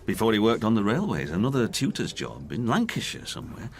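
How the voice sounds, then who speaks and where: Deep-voiced, plain-spoken haunted friend. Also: male voice, huge pitch swings. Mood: confiding. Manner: halting, plain-spoken, reflective. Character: candid, haunted working man recalling his dead friend's decline. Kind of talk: radio drama dialogue.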